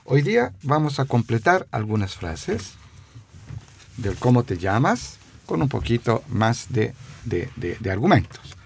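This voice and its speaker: A man giving a radio language lesson, repeating phrases slowly with hesitant fillers. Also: medium pitch, very animated, vocal fry, a little mellow, slightly rough. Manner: slow, repeats phrases for dictation; stumbling fillers.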